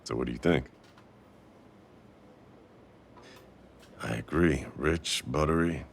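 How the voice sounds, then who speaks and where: A man playing a warm, teasing restaurant owner with a secret crush in a casual, intimate boyfriend audio roleplay. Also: rumbling low, moderate pace, gravelly, a little hiss or hum. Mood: relaxed, warm.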